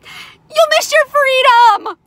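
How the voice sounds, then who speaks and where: A woman voicing a frantic, bossy farm goose in a children's story, honking shrill orders and repeating phrases urgently. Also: slow, a bit bright, dry recording, heavily acted. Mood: warning, pleading. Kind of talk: animated children's storybook character voice.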